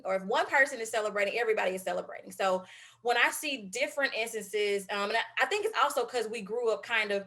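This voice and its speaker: A woman hosts a relaxed interview, her voice sitting somewhat high. She chats easily, affirming her guest and reminiscing about home. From Mississippi. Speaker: interview host. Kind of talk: casual interview conversation.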